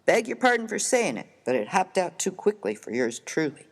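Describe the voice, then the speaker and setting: Man playing a lively, slangy Old West miner. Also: high voice, moderate pace, exaggerated voice. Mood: hearty, sincere. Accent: American. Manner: slangy, folksy, emphatic. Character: rough, hearty, good-natured Old West miner. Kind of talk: dramatised reading of a humorous short story.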